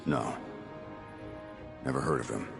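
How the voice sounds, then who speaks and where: Casting: curt video game warrior, deep voice, serious and blunt, score playing behind. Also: male voice, a little slow, vocal fry, music in the background, full character voice. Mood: guarded. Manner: terse, blunt. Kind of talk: video game cutscene acting.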